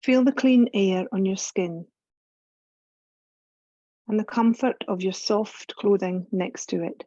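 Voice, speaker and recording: A woman yoga teacher narrates a guided meditation. Her voice is mellow and relaxed at a medium pitch, with soothing, unhurried instructions. The recording is dry and a little dull. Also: no echo.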